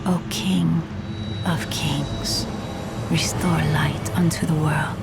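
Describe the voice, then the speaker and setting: A woman's voice: low voice, fairly even intonation, slow, gravelly, over loud music, exaggerated voice. A woman voicing a solemn ice goddess in a fantasy video game, stately and ceremonial.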